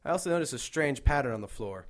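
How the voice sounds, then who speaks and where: A man's voice: medium pitch, animated, fast talker, close to an everyday voice. Man acting an observant young policeman, mid-range male voice, earnest, plain-spoken, radio play. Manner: earnest, matter-of-fact.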